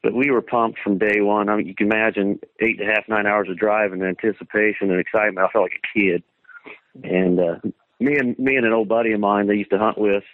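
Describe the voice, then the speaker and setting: A man's voice: fairly low pitch, no echo. A man from Kansas, a guest on a show, talking in an eager, casual way and saying "man" a lot over a phone line. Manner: enthusiastic, says man a lot. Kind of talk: phone-in interview.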